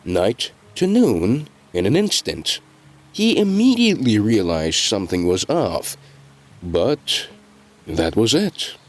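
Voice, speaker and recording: A man reading scripted dramatic narration in a measured storytelling delivery, with deliberate pauses. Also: fairly low pitch, big pitch swings.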